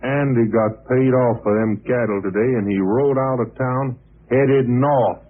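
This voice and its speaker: A man playing a husky, shifty western outlaw. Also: medium pitch, unhurried, natural voice. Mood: scheming. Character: shifty, scheming male frontier outlaw. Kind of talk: 1950s radio western acting. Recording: tinny old radio recording.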